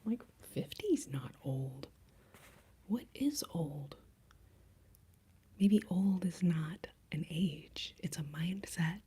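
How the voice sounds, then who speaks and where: An American woman in her late thirties whispering in a breathy voice, chatting casually and playfully as an ASMR live streamer. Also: on the low side, takes it slowly, warm tone. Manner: playful, self-deprecating. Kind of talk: whispered ASMR, casual rambling chat with viewers.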